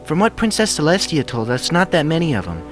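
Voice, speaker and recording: A man's voice: on the high side, big pitch swings, brisk, pitched above the performer's own voice. A man voicing a confident, reassuring young female pony in a story reading, with an upbeat, assured manner. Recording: music behind the voice.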